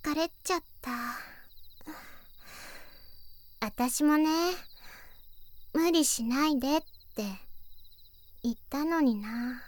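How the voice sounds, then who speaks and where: A woman voicing a teenage girl in an anime audio drama, playfully teasing and softly pouting, with a lonely side. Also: high-pitched, slow. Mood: quiet, wistful.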